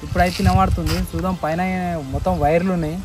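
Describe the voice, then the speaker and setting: A man's voice: sits high, flat delivery, racing. A young man with a Telangana accent chatting casually and informally to a camera. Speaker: range-test helper. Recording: loud music behind.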